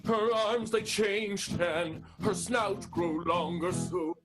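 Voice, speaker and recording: A man's voice: sits high, slow. A man playing an earnest, lovesick, secretive character in an improvised radio soap, with guitar music behind him. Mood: crooning lament.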